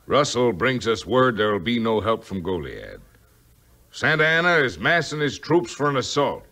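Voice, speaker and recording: A resolute military commander with a bright, forceful voice that moves widely in pitch. He speaks formally and declaims his orders in old radio-drama style. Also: big pitch swings, normal speed, heavily acted. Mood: solemn, resolute. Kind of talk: 1950s radio-style adventure acting.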